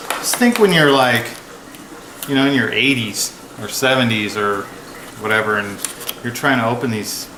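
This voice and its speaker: A man's voice: medium pitch, lively intonation, moderate pace, bright, forceful tone. A man giving a casual, rambling hands-on product review with an informal, offhand way of talking. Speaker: product review video host. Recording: echoey room with clear background noise.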